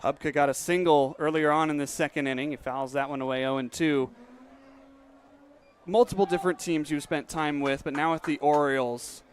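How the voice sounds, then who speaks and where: A man's voice: a little high, normal speed, slight background noise. A young man hosting a baseball radio broadcast from a stadium booth, mixing play-by-play calls with interview questions. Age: young adult. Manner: steady announcer delivery mixing calls and questions. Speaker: play-by-play announcer and host.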